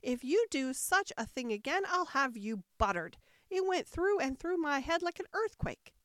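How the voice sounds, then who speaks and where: A woman's voice: high voice, big pitch swings, unhurried, no room sound, voice pushed up. A woman voicing a fussy, easily alarmed and impatient king in a storybook reading, with a petulant, pedantic manner. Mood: indignant. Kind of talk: storybook character voice.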